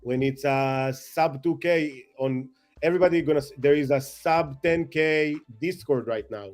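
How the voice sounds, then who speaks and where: A man chatting as a guest, excitable and rambling, self-mocking, with non-native English phrasing. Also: a little high, unhurried, soft background music. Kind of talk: casual chat.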